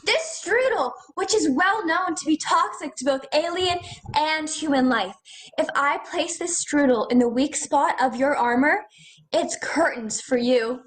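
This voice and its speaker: Girl playing brash, very high-voiced mayor. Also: expressive, measured pace, rough recording. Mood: defiant. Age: teens. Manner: bombastic, declamatory. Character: brash, fearless small-town woman mayor. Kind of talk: comic radio play acting. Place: home living room over a video call. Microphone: computer microphone on video call.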